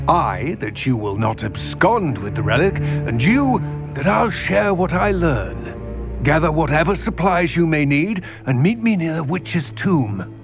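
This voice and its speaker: Wary old goblin, swooping pitch. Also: male voice. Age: older. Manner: measured, formal, deliberate phrasing. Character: wary, elderly male goblin metal trader. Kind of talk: dramatic video game dialogue.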